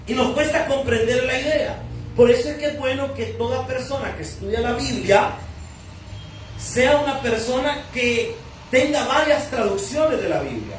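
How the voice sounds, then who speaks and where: A man's voice: extremely high pitch, lively intonation, normal speed, a little hiss or hum. A Salvadoran man preaching a sermon as pastor, teaching through questions he asks and answers himself. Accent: Salvadoran Spanish. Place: church hall. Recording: echoey church hall from far off.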